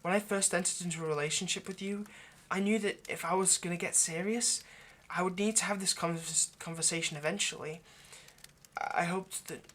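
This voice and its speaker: A man's voice: sits high, steady tone, brisk. A man playing an earnest, lovestruck vampire in an intimate roleplay monologue, speaking hesitantly and persuasively. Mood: hesitant, reassuring. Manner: hesitant, stammering, gently persuasive. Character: earnest, lovestruck male vampire coaxing a partner.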